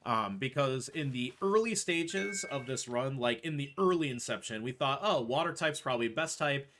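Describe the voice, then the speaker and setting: A man's voice: mid-range voice, lively intonation, normal speed, fairly bright tone, a little hiss or hum. A man giving casual, explanatory speedrun commentary full of gamer jargon while he plays. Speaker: speedrunner playing and explaining the run.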